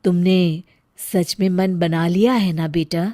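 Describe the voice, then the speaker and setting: A woman playing the gentle, protective spirit of a mother in a story, soothing and tender. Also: lowish voice, fairly even intonation, slow pace, dry recording, clean studio sound. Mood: comforting. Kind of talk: acted story dialogue.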